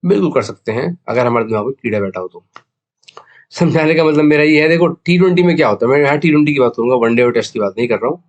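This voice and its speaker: A man's voice: mid-range voice, animated, quick pace. A man with an Indian accent and a sore throat gives a casual explainer monologue as a video host, mixing two languages conversationally. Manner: conversational Hindi-English code-mixing, explanatory. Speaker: video host, cricket betting tipster.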